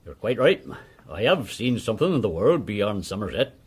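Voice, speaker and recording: Village postmaster, husky, lively swooping pitch, garrulous, respectful, period radio drama. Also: male voice, moderate pace, exaggerated voice. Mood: frank, matter-of-fact. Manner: garrulous, deferential, inquisitive. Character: chatty, deferential village postmaster, a one-legged former army corporal.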